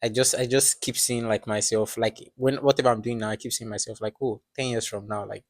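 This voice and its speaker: Brief: young male podcast host, lowish voice, dry close sound, meandering filler-laden chat. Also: steady tone, a little fast, no room sound. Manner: rambling, filler-heavy, reflective. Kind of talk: casual chat.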